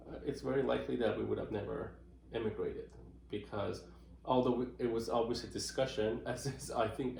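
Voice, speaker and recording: A middle-aged man from Iran answering interview questions in a thoughtful, unhurried way, with many fillers and restarts. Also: mid-range voice, gravelly, a little mellow, slight background noise. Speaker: interviewee, marketing professional. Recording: roomy echoey room.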